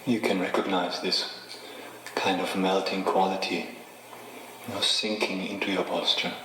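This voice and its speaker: A man leading a guided meditation as a teacher, speaking in an unhurried, gently instructing way with pauses. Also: sits low, level tone. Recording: echoey reverberant room with faint noise.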